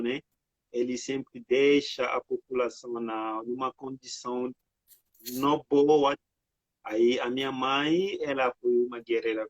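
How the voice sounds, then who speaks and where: A man from the Democratic Republic of the Congo answering interview questions over a video call, halting, with many filler words. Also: mid-range voice, no room sound. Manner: halting, frequent "né" and "sabe" fillers. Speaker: artist and guest. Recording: dry lo-fi video call audio.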